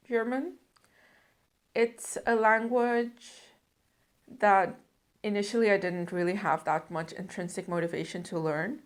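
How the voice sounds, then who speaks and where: A young woman from the Netherlands talking to camera about her language goals, earnest and reflective. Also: on the low side. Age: young adult. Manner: earnest, reflective, measured with some fillers. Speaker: language learning vlogger. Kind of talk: casual talk to camera.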